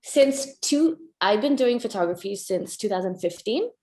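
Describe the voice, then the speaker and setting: A woman's voice: medium pitch, animated, moderate pace, mellow. Tanzanian-raised woman photographer, 30s, chatty interview answers, echoey room. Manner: chatty, restarts often, laughs. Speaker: conservation photographer.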